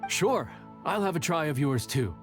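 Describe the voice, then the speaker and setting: A man's voice: on the high side, very animated, moderate pace. A man voicing a humble, good-natured rival chef in an anime-style game, with an easygoing, thoughtful manner. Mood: gracious, musing. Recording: music behind the voice.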